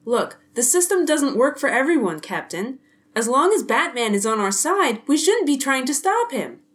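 A woman's voice: high-pitched, very animated, normal speed. A woman playing a boyish, wisecracking male police detective, playful and enthusiastic. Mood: earnest.

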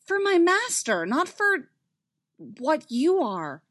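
A woman voicing a distressed, insistent young female Jedi apprentice in dramatic audiobook dialogue, with emphatic, halting protests. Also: high-pitched, sing-song, a bit bright, voice pushed up, heavily acted. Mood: urgent, insistent.

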